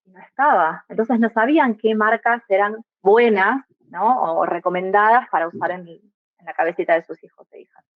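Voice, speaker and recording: A woman's voice: mid-range voice, normal speed, no echo. Woman with an Argentine accent on a tinny line. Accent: Argentine Spanish. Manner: thoughtful, often adds tag questions. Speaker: guest, migrant mother. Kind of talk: reflective podcast conversation.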